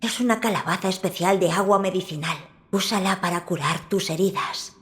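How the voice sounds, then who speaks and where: A woman with a Castilian Spanish accent voicing a composed young boy of noble rank in a video game, formal and measured. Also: on the low side, a bit bright, natural voice. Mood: calm, authoritative. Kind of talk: dramatic video game dub. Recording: music under the voice.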